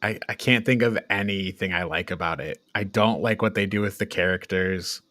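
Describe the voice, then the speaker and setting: A man's voice: deep voice, moderate pace, sharp tone, no room sound, studio quality. A man co-hosting a casual film review chat in an informal, exasperated manner. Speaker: podcast co-host reviewing films.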